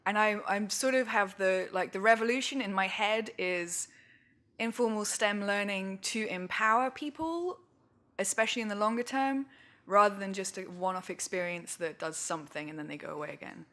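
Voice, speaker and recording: Woman, guest speaker, informal slangy phrasing, self-deprecating, mid-pitched, question-and-answer delivery. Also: moderate pace, fairly bright tone. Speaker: guest speaker, museum education researcher. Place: indoor talk venue with audience.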